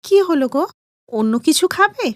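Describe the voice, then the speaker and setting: A woman's voice: sits high, sing-song, normal speed, no room sound, studio quality. A woman voicing a young newlywed daughter-in-law in a cartoon, polite, earnest and questioning.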